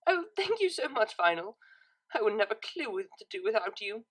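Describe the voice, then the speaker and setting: A woman's voice: mid-range voice, big pitch swings, normal speed, a bit bright, breathy edge, voice pushed up. A woman voicing a refined, naive young cellist pony in an audio story, with a proper, tentative manner. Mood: eager, grateful. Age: young adult.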